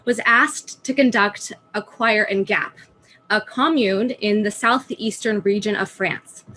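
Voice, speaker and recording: A woman's voice: fairly high pitch, a bit bright, a little hiss or hum. A woman student presenting a prepared talk over a video call, telling a story and asking rhetorical questions. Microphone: video call microphone.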